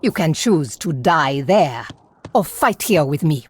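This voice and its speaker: An actress playing a proud, resolute warrior leader in a video game, speaking with solemn command. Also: female voice, deep voice, lively intonation, takes it slowly, a little mellow, breathy edge, heavily acted. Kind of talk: video game cutscene acting.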